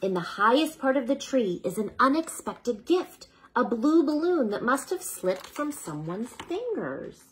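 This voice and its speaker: A woman reading a children's bedtime story aloud in an unhurried, expressive way, as if speaking to a child, with a slightly husky voice. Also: fairly high pitch, a little hiss or hum, low-quality recording.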